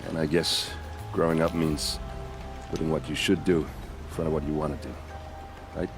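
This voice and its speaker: Low male voice, rough-and-ready soldier captain, self-deprecating, blunt, casual, game drama, clear background music. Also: little pitch movement, moderate pace. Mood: reflective, rueful. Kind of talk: dramatic video game cutscene acting.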